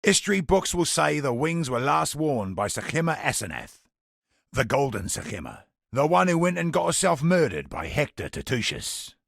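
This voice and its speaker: Gravelly, animated rogue merchant. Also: male voice, on the high side, moderate pace, no echo, clean studio sound, heavily acted. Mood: scheming, cheerful. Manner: colloquial, wry storytelling with British slang. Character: roguish, chatty male merchant, wry and worldly. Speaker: merchant character in a fantasy video game. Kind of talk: scripted video game character dialogue.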